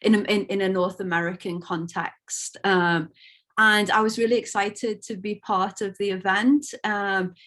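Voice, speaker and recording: A woman's voice: mid-range voice, steady tone, measured pace, a bit bright. A woman in her fifties from Liverpool, an academic on a panel, introducing herself in a friendly, appreciative way with a few stumbles over a video call. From Liverpool, England.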